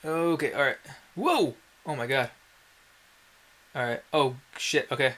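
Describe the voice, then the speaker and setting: A man's voice: medium pitch, lively intonation, measured pace, fairly bright tone. A man giving casual gameplay commentary in a wry, self-deprecating way, with some mild swearing. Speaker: let's play host.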